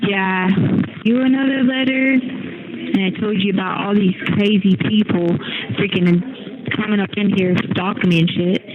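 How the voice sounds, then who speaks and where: A woman's voice: medium pitch, steady tone, moderate pace, background noise. A woman in a casual, agitated phone chat, rambling with slang and cursing over a jail phone line. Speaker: jail inmate on a phone call. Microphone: telephone handset.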